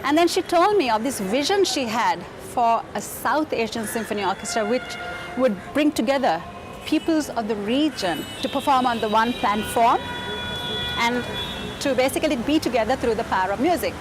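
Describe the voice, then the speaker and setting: A woman calmly recounting events in a documentary interview. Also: on the high side, normal speed, over music.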